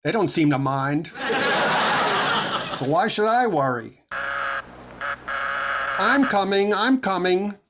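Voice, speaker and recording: An actor playing a fussy, stingy, self-justifying boss in a 1940s radio comedy. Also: male voice, high-pitched, big pitch swings, slow pace, pauses often, heavily acted. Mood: fussy, defensive. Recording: noticeable background noise.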